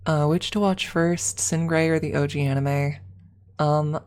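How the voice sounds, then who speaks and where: A woman's voice: sits low, fairly even intonation, measured pace, a little mellow, clean tone. A woman streamer rambling casually to her viewers on an ASMR livestream, talking in a gushing, hyperbolic fan's manner. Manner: gushing, hyperbolic fan talk. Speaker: streamer answering viewer chat.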